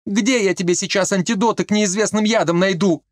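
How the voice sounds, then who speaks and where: A man putting on a high, shrill village herbalist woman who snaps and sobs by turns. The pitch climbs far above his own voice, and the read is dry and close. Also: a little fast, dry recording, lightly acted. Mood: tearful. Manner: shrill, sobbing. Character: village herbalist wife, woman, anxious and tearful.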